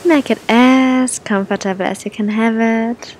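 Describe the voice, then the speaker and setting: Woman, meditation guide, high voice, very slow pace, gentle and soothing, long pauses. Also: steady tone, rough recording. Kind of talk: guided meditation, spoken softly to the listener. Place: outdoors on a beach. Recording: outdoor recording with low rumbling noise.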